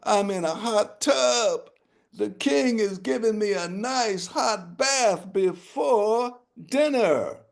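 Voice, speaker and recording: A man voicing a cheeky, boastful rooster in a high, crowing voice. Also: takes it slowly, vocal fry, full character voice. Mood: gleeful. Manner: high crowing, gleeful and mocking.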